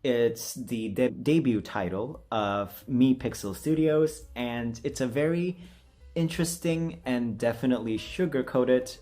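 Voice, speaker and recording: A man with a mid-pitched voice talks casually to his viewers. He rambles in a jokey, self-deprecating way, like a relaxed creator's vlog. Soft music plays underneath. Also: measured pace. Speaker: voice acting video creator.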